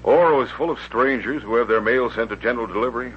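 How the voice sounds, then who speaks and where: An actor playing a cautious, practical middle-aged sheriff in a radio western, plain-spoken and folksy. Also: male voice, mid-range voice, fast, close to an everyday voice. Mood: skeptical, cooperative. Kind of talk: 1950s radio western acting. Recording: hissy, dull 1950s radio recording.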